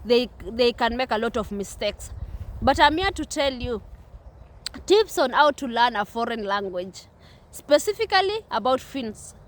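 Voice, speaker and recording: A woman's voice: a little high, sing-song, measured pace. A young woman from Kenya with a Kenyan English accent, vlogging outdoors at a playground in an earnest, repetitive way with many restarts. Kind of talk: casual talk to camera.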